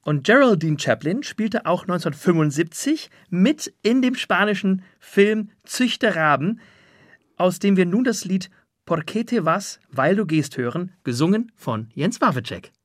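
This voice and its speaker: Male interview host, notably high-pitched voice, dry close sound, prepared presenting style. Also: lively intonation, unhurried, no echo.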